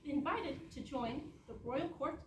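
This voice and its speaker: A woman plays a fairytale narrator with a wry, presentational delivery on stage. Her voice is high, caught by a distant mic in a noisy, echoey hall. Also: measured pace.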